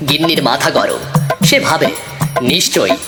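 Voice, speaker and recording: A woman's voice: deep voice, expressive, moderate pace. A woman narrating a scripted comic cartoon story, with music behind the voice.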